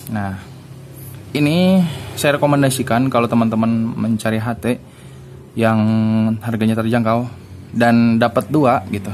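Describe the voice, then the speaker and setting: A man giving a casual hands-on unboxing review, informal and halting as he searches for words. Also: medium pitch, darkish tone, slight background noise. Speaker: product reviewer.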